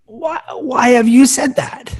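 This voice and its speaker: A performer playing a clingy, lovesick, nerdy young boy with a husky voice and melodramatic delivery in a sitcom table read. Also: medium pitch, slow pace, darkish tone. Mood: wounded. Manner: melodramatic, swooping between pleading and wailing.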